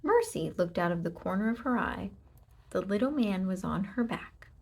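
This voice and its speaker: A woman narrating a children's storybook aloud, with expressive, deliberate delivery and emphasis on short sentences. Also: mid-range voice, husky. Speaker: storybook reader.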